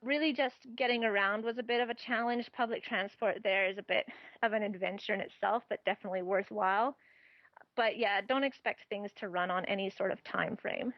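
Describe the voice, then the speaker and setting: A woman's voice: mid-range voice, fairly even intonation, brisk, no room sound, highs cut off. A woman guest on a travel interview, chatting casually and laughing often as she gives practical advice.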